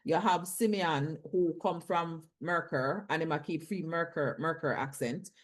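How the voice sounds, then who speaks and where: A woman's voice: low voice, unhurried. A Jamaican actress with a Jamaican accent in a casual panel discussion, slipping into Patois as she talks. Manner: animated, drifts into Jamaican Patois.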